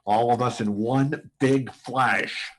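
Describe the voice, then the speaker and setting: A man's voice: medium pitch, steady tone, takes it slowly. A man playing a desperate, threatening pilot in a radio drama. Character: desperate male pilot threatening to blast the base. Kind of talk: live radio drama acting.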